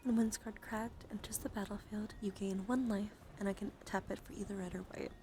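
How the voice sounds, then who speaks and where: A woman livestream host speaking in a soothing, mostly whispered ASMR style, breathy and casual, with playful encouragement. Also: medium pitch, fairly even intonation, brisk, darkish tone, a little hiss or hum. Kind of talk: whispered ASMR card reading with casual chat.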